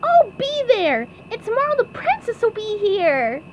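A woman voicing an eager, childlike, bubbly male sea sponge fry cook from a cartoon storybook in an excitable way. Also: very high voice, takes it slowly, muffled recording, heavy background noise, pitched above the performer's own voice, exaggerated voice. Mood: thrilled. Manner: excitable, exclamatory.

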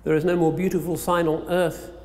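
A middle-aged man delivering a solemn, measured sermon in short rhetorical phrases with pauses, as an imam. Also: a little high, flat delivery, a little mellow. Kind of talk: Eid sermon. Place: large mosque interior, at a lectern. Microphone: microphone on a stand. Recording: long hall reverb.

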